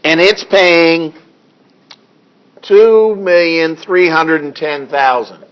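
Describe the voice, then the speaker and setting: A hoarse male accounting instructor in a classroom lecture, reading figures deliberately and adding dry asides. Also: on the high side, dull audio. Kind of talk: classroom lecture working through problems.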